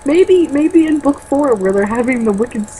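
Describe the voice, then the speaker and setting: A woman's voice: high voice, lively intonation, moderate pace, dark tone, a little hiss or hum. Sarcastic woman reading a book aloud mockingly. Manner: sarcastic, exasperated asides. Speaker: reader and co-host of a book-mocking show. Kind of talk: mocking read-aloud with ad-libbed comments.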